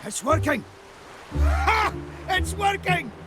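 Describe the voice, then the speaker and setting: An actor playing a gruff, excitable old seafaring man with a Scottish accent and gravelly voice in a video game. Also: very high-pitched, slow pace, loud music behind the voice, exaggerated voice. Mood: excited. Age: older. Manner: folksy, exclamatory, blustering. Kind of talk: theatrical game voice acting.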